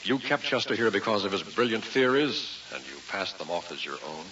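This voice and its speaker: A man's voice: sits low, lively intonation, fairly quick, bright, forceful tone, slight background noise. A man playing a stern, skeptical town mayor, formal and wary, in a vintage radio drama. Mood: skeptical, challenging. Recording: muffled vintage radio recording.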